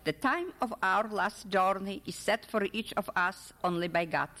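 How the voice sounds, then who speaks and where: Woman reading as older lady in love from a story, gentle n reassuring, lilting pitch, big echoey hall. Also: lively intonation, moderate pace. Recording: echoey hall with long reverb.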